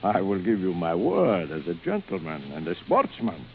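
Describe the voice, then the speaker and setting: An actor playing a suave, gloating aristocratic general who hunts men for sport, theatrical and mock-courteous, in vintage radio style. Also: male voice, low voice, huge pitch swings, moderate pace, exaggerated voice. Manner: theatrical, mock-courteous, savouring his words. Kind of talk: 1940s radio acting. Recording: old radio broadcast, tinny and noisy.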